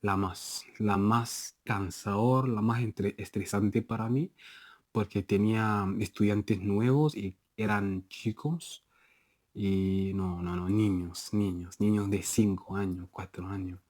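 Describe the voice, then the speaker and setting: A young man with a Nigerian accent talks to camera, his voice sitting low and close on a dry, roomless recording. He stumbles, restarts and laughs off his own mistakes as he goes. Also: fairly even intonation, slow pace, a little mellow, no room sound. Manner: self-correcting, laughs at own slips, frequent restarts. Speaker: language-learning vlogger. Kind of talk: casual self-recorded vlog.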